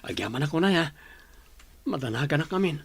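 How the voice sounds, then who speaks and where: Creaky, lighter-voiced male student. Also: on the high side, animated, fairly quick, exaggerated voice. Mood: pleading, earnest. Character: young male student, struggling and pleading for help. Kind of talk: audio drama acting.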